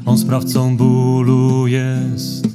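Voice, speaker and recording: A man's voice: mid-range voice, monotone, very slow. A man singing a penitential religious song in a pleading, prayerful manner. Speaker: singer. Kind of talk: slow sung penitential religious song with guitar. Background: plucked guitar music.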